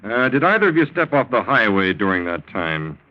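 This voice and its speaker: Probing police lieutenant, 1940s radio, lively pitch. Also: male voice. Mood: probing, official. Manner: measured questioning with deliberate hesitations. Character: probing, deceptively polite male police lieutenant. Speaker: actor playing police lieutenant. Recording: dull old 1940s radio recording.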